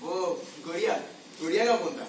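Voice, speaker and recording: An actor playing a gentle, caring clown on stage, speaking tenderly and haltingly, doting on a young girl. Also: sits high, a little hiss or hum, lightly acted. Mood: wistful, confiding. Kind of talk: Hindi stage play acting. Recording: echoey hall, distant mic, music behind.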